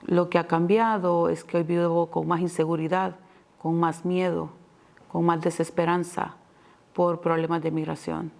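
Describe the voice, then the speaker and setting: A woman in her fifties from El Salvador, calmly and earnestly recounting her life as an interviewee. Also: fairly low pitch, normal speed, vocal fry. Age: 50s. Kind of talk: personal interview testimony.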